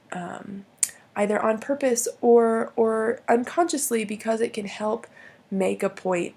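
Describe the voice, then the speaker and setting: A woman with a hoarse voice from bronchitis, explaining logical fallacies in a hesitant way with many pauses and restarts. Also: medium pitch, darkish tone. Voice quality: husky, gravelly.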